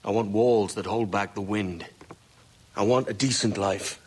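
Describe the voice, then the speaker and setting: An actor playing a brash, roguish young pirate sailor with a cocky, plain-spoken way of talking in a video game cutscene. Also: male voice, lowish voice, steady tone, measured pace, husky. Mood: earnest, frustrated.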